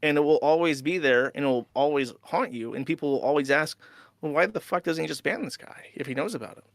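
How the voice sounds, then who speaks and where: A man's voice: fairly high pitch, fast talker, dry recording. A man earnestly arguing a point in conversation, often restarting and repeating words. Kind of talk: argumentative conversation.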